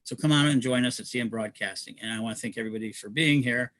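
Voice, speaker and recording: A man's voice: mid-range voice, fast talker, fairly bright tone, no echo. A man hosting a livestream, who talks about community projects in a rambling, enthusiastic way. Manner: rambling, enthusiastic, many restarts. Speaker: host. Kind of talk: promotional livestream talk.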